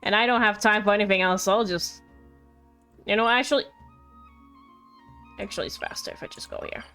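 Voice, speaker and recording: A woman's voice: medium pitch, fairly quick, pushed and bright, smooth. A woman doing casual live game stream commentary, playful and rambling with mock-indignant asides. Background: game music.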